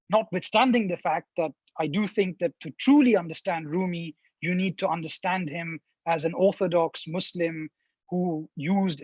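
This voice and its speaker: A man talks in a seminar, weighing each idea through restarts and fillers. His voice is husky and sits fairly high for a man. The sound is dry and a little muffled, like call audio. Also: no echo. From India. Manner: thoughtful, many restarts and fillers. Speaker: professor answering questions. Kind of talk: academic seminar talk.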